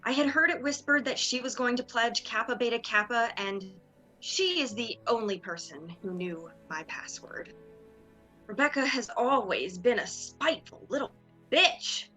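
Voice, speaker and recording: A woman acts out a young sorority leader in a dramatic reading. She is proud, hurt and resolute, with a high, forceful voice over faint music. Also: expressive, moderate pace, low-quality recording. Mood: bitter.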